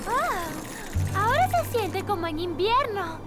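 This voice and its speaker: Very high, sweet cartoon girl. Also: very animated, measured pace, over loud music, heavily acted. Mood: gentle. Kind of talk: Spanish-dubbed children's cartoon acting.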